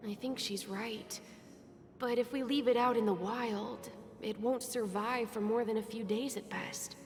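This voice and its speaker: Woman playing a high-voiced, serious young boy. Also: steady tone, unhurried, slight background noise, close to an everyday voice. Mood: thoughtful. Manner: careful, serious, gentle. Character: thoughtful, sensible young boy, a scholarly brother. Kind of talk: scripted video game voice acting. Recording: hall reverb under faint music.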